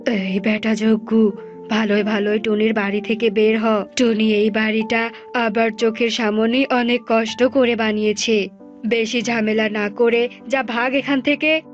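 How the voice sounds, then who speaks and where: A woman's voice: fairly high pitch, flat delivery, a little slow, lightly acted. A woman voicing a stern male cartoon forest bird, scolding and commanding. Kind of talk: Bengali cartoon bird-fable acting. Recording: dull lo-fi audio with music.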